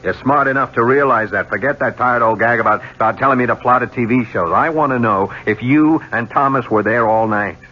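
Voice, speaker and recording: An actor playing a dogged, brusque homicide lieutenant in a 1970s radio drama, questioning like a seasoned interrogator. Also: male voice, mid-range voice, a little fast, few pauses, lightly acted. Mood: pressing, accusing. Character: dogged, hard-nosed homicide police lieutenant. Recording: dull vintage radio broadcast.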